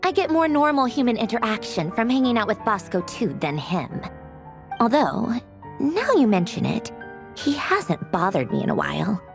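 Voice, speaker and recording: Haughty, high-voiced lady investor. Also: big pitch swings, normal speed, gravelly, over music. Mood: dismissive. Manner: haughty, brash, self-important, easily flustered. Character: haughty, mercenary young female investor, secretly kind. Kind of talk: video game cutscene acting.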